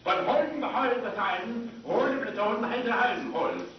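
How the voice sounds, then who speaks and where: German interpreter spouting repetitive nonsense, mock german accent, radio sketch, far off and noisy. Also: male voice, very high-pitched, lively intonation, brisk. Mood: babbling. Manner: rattling, repetitive babble. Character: babbling German male interpreter in mock-foreign gibberish. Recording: old radio clip over echoey hall speakers, muffled, noisy.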